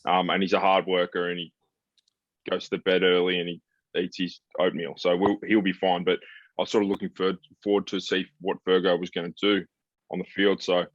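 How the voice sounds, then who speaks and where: Very deep-voiced man chatting sport. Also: little pitch movement, brisk, no room sound. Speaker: sports podcast host. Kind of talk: casual sports chat. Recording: slightly dull, lo-fi sound.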